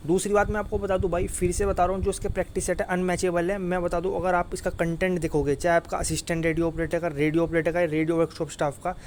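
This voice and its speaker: A man's voice: high-pitched, little pitch movement, quick pace, hardly any pauses, a little mellow, a little hiss or hum. A man giving an informal exam update to students in an insistent, repetitive way. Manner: repetitive, insistent, often tags phrases with "okay". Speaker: exam-news YouTuber and coach.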